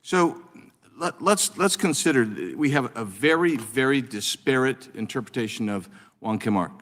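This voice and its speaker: Man questioning with halting restarts, reverby room. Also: mid-range voice, lively intonation, fairly bright tone. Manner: halting, many restarts and fillers. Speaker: congressman questioning witnesses. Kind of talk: committee hearing questioning. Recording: long reverb of a hearing room.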